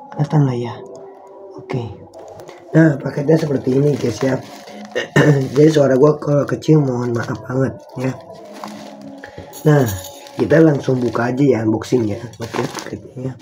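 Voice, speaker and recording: A hoarse young man, hosting a casual live-stream unboxing in rambling slang and clearing his throat often. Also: mid-range voice, poor audio. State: hoarse from a cough. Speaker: channel host.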